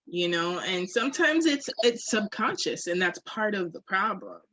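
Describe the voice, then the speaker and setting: A woman's voice: medium pitch, very animated, normal speed, fairly bright tone, rough recording. A woman co-hosting a candid podcast conversation, speaking in a reflective, emphatic and informal way. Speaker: podcast co-host.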